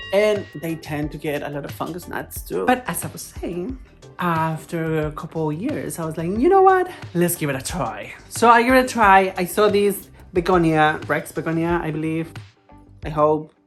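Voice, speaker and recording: Low-pitched woman with a foreign accent. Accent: foreign-accented English. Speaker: plant vlogger. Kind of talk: casual, chatty vlog talk. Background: music.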